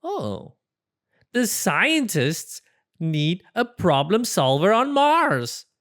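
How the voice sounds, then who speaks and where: Someone voicing a breezy, overconfident cartoon porcupine, very animated. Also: mid-range voice, very slow, full character voice. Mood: confident, upbeat. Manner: breezy, theatrical, self-assured delivery. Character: cheerful, overconfident, silly porcupine problem solver, male.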